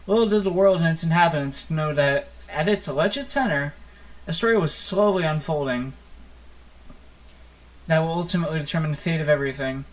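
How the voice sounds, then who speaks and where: A man's voice: a little high, fairly quick, low-quality recording. A man reading a visual novel aloud as a gaming host, with theatrical, playful narration and offhand asides. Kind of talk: expressive storybook-like narration with casual asides. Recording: music playing behind the voice. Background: plucked guitar game music.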